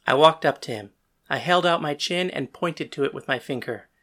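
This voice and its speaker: Death god, narrating lead in audio drama: female actor, deep-pitched, brooding, bitter, defiant. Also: normal speed, fairly bright tone. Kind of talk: first-person dramatic narration and dialogue.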